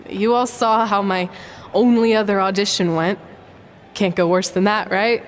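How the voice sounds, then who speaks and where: Measured, high-voiced champion wrestler. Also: female voice, slight background noise. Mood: wistful, grateful. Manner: measured, reflective, deliberate. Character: seasoned champion woman wrestler giving sincere, wistful retirement speech. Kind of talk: video game cutscene voice acting.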